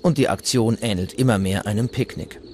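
A man's voice: on the low side, a little fast, over music. A man reading scripted documentary narration as the narrator.

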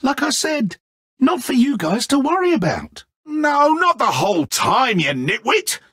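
An actor playing a petty, egotistical, godlike villain in a video game, smooth and theatrical, with condescending mock friendliness. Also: male voice, very high-pitched, sing-song, measured pace, fairly bright tone, no room sound, studio quality, full character voice. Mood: petulant, condescending.

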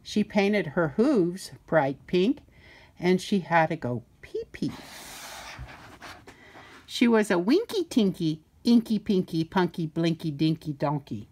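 An older woman reading a children's picture book aloud in a playful, sing-song way with deliberate emphasis. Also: on the low side, animated, darkish tone. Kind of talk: storybook read-aloud.

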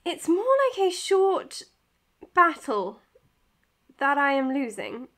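A woman's voice: high voice, sing-song, takes it slowly, a bit bright, exaggerated voice. An actress playing a caring, tired woman doctor in a naturalistic audio drama, speaking earnestly and hesitantly. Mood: flustered. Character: anxious, caring, tired young female doctor. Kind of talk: naturalistic audio drama, confessional podcast narration.

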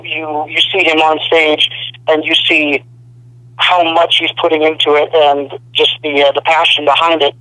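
A young man, a rock band's singer, talking on a phone interview in a relaxed, rambling way full of "you know.". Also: on the high side, no room sound. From Arizona. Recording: dry telephone line.

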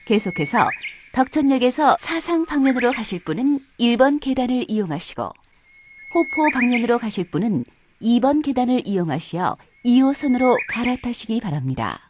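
High, lilting female subway announcer. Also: big pitch swings, normal speed, noisy background. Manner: polite, carefully enunciated. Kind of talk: formal scripted transit announcement.

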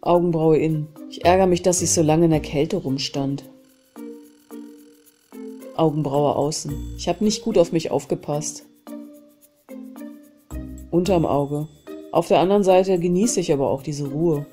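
Low, mellow-voiced woman guiding tapping. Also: a little slow, pauses often, soft background music. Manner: calm, repeats phrases and calls out tapping points. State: has a cold. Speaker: self-help channel host. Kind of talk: guided EFT tapping session.

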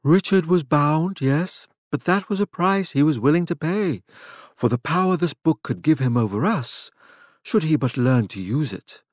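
A calm, deliberate male leader with quiet menace, acted by a man narrating. He speaks formally and with measured weight in a dark audiobook story, pitched a little up. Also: no echo, studio quality. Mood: calm, assured.

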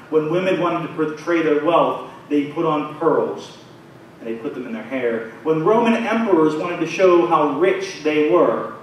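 A man in his thirties preaching a sermon in a small church, his phrases rising and falling in a measured way. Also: a little high. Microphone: far camera, pulpit mic. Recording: very echoey church from far off, faint noise.

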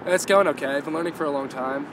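A man's voice: on the high side, fast. A young man, a college student, answering modestly and rambling in a street interview. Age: young adult. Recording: loud noise, distant mic.

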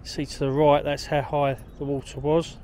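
A man's voice: fairly high pitch, level tone, normal speed, darkish tone, a little hiss or hum. A middle-aged man, chatting casually outdoors into a handheld camera as a walking vlogger. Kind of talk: casual walk-and-talk to camera. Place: outdoors on canal paths and country trails. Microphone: handheld camera at arm's length.

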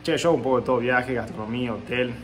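A young man talks casually into a phone held at arm's length in a small room. His pitch stays at an ordinary middle level, and his manner is offhand and chatty. A faint hiss of background noise sits under his voice. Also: racing. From Argentina. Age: young adult. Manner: chatty, offhand, repeating that he does not know the places. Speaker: food and travel vlogger. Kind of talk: casual reaction video. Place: indoors, small room at home. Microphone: phone camera at arm's length.

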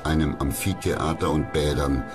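Man, very low bass voice, scripted documentary narration, solemn and dramatic, prominent music behind. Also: moderate pace. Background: loud background music.

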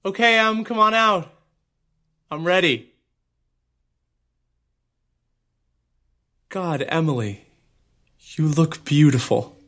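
A tender, teasing young American groom. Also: male voice, on the high side, unhurried, sharp tone. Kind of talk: naturalistic audio drama acting.

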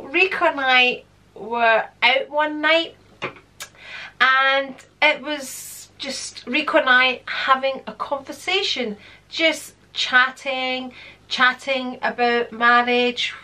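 A woman's voice: sits high, slow. A woman in her fifties, born in London, with a Scottish accent tinged by London Greek, chatting and rambling to camera at home. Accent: Scottish with some London Greek. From London, England. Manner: chatty, rambling, expressive hand gestures. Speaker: channel host. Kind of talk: casual to-camera vlog chat. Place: home room with shelves of handbags.

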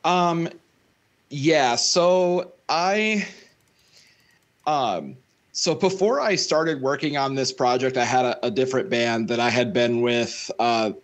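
A man's voice: mid-range voice, slow. A young man talking as a guest musician on a podcast over a video call, rambling with fillers and restarts. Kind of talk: casual interview. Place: home room. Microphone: studio mic close, headphones on.

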